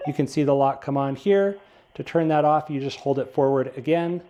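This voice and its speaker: A man's voice: fairly high pitch, normal speed, dark tone, lo-fi sound. A man giving a casual product review, explaining things plainly, step by step.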